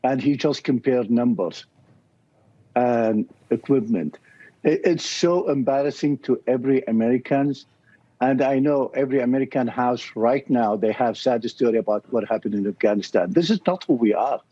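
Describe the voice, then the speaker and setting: Iraqi-accented male guest, earnest and impassioned, warm husky tone, lo-fi sound. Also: medium pitch, normal speed. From Iraq. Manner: earnest, impassioned, simple broken phrasing. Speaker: interview guest. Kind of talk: video interview. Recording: dull lo-fi recording.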